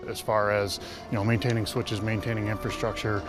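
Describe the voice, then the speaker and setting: A man's voice: lowish voice, little pitch movement, fairly quick, over music. A man in a military role explaining his work emphatically in a documentary interview. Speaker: air force communications airman.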